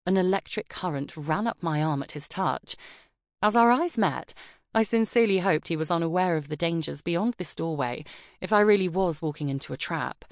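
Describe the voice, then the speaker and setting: A woman narrates a first-person novel in a measured, expressive reading voice. Her pitch sits low for a woman, and the recording is close and completely dry, as from a studio booth. The delivery is polished and steady. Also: no echo. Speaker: audiobook narrator. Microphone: close studio mic.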